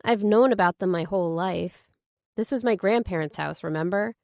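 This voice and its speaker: A woman's voice: mid-range voice, normal speed, creaky, a little mellow, no room sound, studio quality, close to an everyday voice. Woman voicing a reserved, matter-of-fact young heroine. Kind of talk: audiobook character dialogue.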